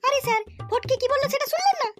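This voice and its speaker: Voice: extremely high pitch, animated, over music. A performer voicing a sassy little schoolgirl who talks back in a comedy cartoon. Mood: cheeky. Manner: sassy, quick-talking back.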